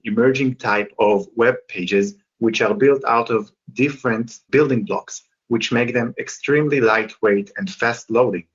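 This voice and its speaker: A man with an Israeli accent, a company co-founder presenting his product as a webinar guest in a clear, structured way. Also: medium pitch, lo-fi sound.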